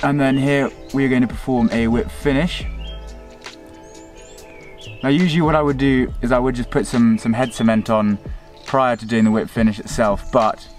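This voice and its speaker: A man's voice: medium pitch, steady tone, moderate pace, soft background music. A young man hosting a fishing vlog, talking viewers through his steps in a casual, chatty way. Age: young adult. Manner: chatty, addresses viewers as folks and guys. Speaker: fishing channel host. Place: outdoors by a river. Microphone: handheld camera at arm's length.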